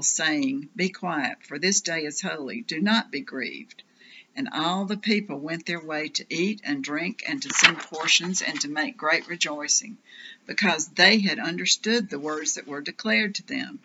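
A woman giving a solemn, scripted scripture reading in a measured, declarative manner. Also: low-pitched, bright, forceful tone. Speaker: scripture reader. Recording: dull lo-fi audio, faint noise.

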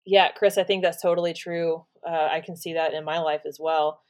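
A woman's voice: sits low, fairly even intonation, normal speed. A woman from San Francisco co-hosting a podcast, agreeing and sharing personal experience with some fillers. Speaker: podcast co-host. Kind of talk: casual discussion.

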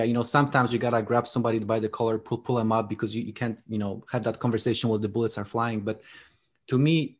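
Earnest man, Polish native speaker. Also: lowish voice. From Poland. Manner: earnest, many restarts and fillers. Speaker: guest author. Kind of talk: conversational interview.

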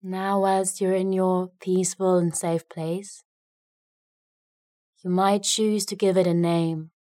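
A woman leads a guided meditation at a very slow pace, with long pauses between short phrases. Her voice sits slightly low and she speaks gently. The recording is dry and close, with little room sound. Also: flat delivery, no room sound.

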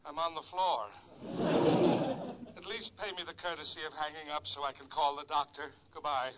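An actor playing a long-suffering, despairing boss who pleads in exasperation, in a 1940s radio sitcom. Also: male voice, a little high, fairly even intonation, normal speed, natural voice. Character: exasperated, despairing businessman, boss of a hopeless secretary. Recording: muffled, very noisy old radio recording.

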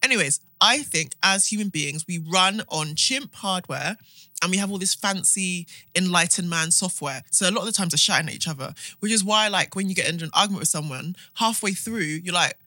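A woman's voice: lowish voice, fairly quick, sharp tone, no room sound. A woman co-hosting a podcast, chatting casually and informally, often acting out imagined dialogue. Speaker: podcast co-host. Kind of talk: casual chat.

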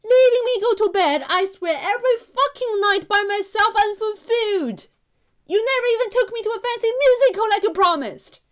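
Woman playing shrill-pitched furious girlfriend Caramel. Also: lively intonation, moderate pace. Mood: scolding, exasperated. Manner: scolding, indignant, emphatic. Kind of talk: comic radio play acting.

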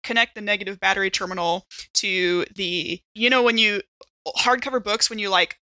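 Bright-voiced woman playing a tabletop game. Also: medium pitch, steady tone, moderate pace, dry recording. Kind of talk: casual game chat.

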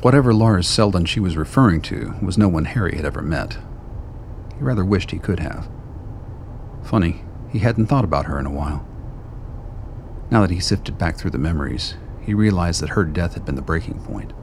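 A man's voice: low voice, lively intonation, a little fast, creaky, slight background noise. A man reading scripted narration for a radio drama as its narrator.

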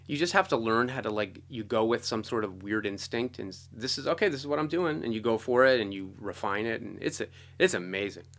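A man with a fairly low voice sits as an interview guest, telling stories from working life. His delivery is informal and animated, with thoughts looping through casual phrases like "you know.". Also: a little fast, few pauses. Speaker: guest, composer and orchestrator. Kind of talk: conversational interview storytelling.

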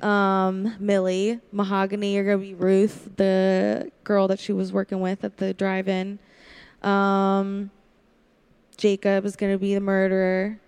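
A woman's voice: medium pitch, monotone, unhurried, a little mellow, clear, resonant tone. A woman hosting a podcast, talking casually with the cast of a radio play. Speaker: podcast host. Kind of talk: casual group chat and casting announcements.

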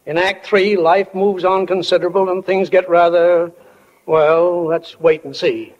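An actor playing a homespun, plainspoken small-town stage manager who narrates a 1940s radio drama. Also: male voice, sits high, fairly even intonation, measured pace. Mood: folksy musing. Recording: dull 1940 radio recording, faint music.

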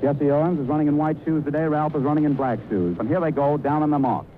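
A man's voice: fairly high pitch, fast talker, a little hiss or hum. Tinny 1930s male radio race commentator. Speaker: athletics commentator.

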